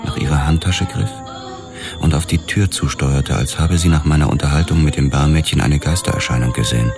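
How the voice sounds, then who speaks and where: An actor playing a brooding police detective who narrates his case in a measured, world-weary way in a radio drama. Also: extremely low pitch. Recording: loud music under the voice.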